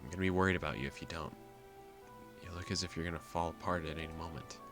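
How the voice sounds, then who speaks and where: A man voicing a protective young man who talks in a firm, calm, reassuring way. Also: sits low, fast, music in the background, lightly acted. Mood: worried.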